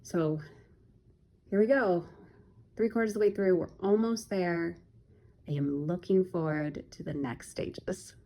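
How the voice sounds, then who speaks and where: Chatty woman sewing vlogger, low voice. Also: measured pace, pauses often, mellow. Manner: chatty, addresses viewers as "my darlings". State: croaky from a cold. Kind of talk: casual sewing vlog talk to viewers.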